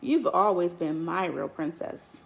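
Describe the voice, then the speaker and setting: A woman voicing an affectionate father who speaks gently. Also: lowish voice, animated, unhurried, vocal fry. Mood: tender.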